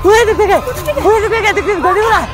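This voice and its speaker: A man's voice: very high voice, racing, a loud crowd. A man playing an anxious village woodcutter and father in an amateur horror drama, speaking in repetitive exclamations. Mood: panicked.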